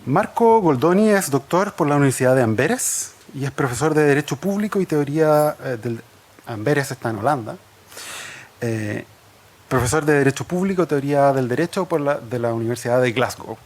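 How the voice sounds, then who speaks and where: A man moderating an academic seminar, introducing the speakers with many fillers and pauses. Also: mid-range voice, expressive, a little mellow.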